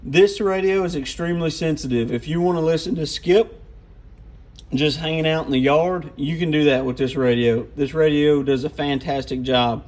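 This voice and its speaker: A man's voice: on the high side, normal speed, a little mellow. A man giving an informal, off-the-cuff hands-on product walkthrough. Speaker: radio gear reviewer.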